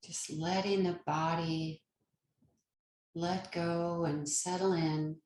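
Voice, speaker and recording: Slow-paced woman guiding meditation. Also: on the low side, steady tone, roomy sound, rough recording. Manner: gentle, unhurried, soothing instruction with long pauses. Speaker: yoga nidra meditation teacher. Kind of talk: guided meditation.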